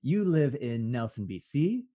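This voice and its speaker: A man's voice: medium pitch, sing-song, a little slow, dark tone. A man in his thirties with a Canadian accent hosts a casual, conversational interview. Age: 30s. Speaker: host, interviewer. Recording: dull, lo-fi sound.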